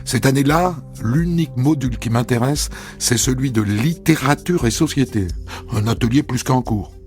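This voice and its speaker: A man telling a first-person story as a narrator, in a measured, intimate reading. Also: medium pitch. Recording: dry studio voice over soft music.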